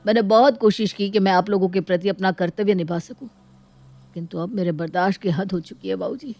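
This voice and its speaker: A woman's voice: medium pitch, brisk, a little mellow, faint music behind, lightly acted. A woman voicing a hurt, dutiful grown son in a dramatic moral story, pleading earnestly and reproachfully. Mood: weary, resigned.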